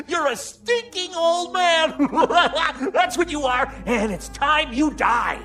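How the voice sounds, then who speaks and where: A cruel, clownish young man in a radio drama. His high voice swoops about as he jeers, stretching each taunt very slowly with gleeful malice. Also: lively intonation, sharp tone, faint music behind, exaggerated voice. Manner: jeering, gleeful. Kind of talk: dramatic radio play acting.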